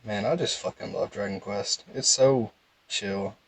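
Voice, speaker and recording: A young man with a calm, low voice, giving casual, rambling game commentary in a laid-back monotone. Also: unhurried, breathy edge, no room sound, poor audio. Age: young adult. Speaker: gaming video host.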